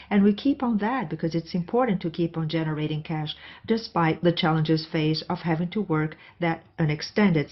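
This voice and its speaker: A woman interpreting live on a corporate earnings call, speaking phrase by phrase with occasional self-corrections. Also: deep voice. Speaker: simultaneous interpreter. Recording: dull, lo-fi conference call audio.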